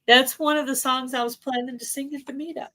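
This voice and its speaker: A woman's voice: sits high, steady tone, a little fast, fairly bright tone. A married woman chatting casually on a livestream, friendly and agreeable. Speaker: livestream co-host. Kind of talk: casual chat.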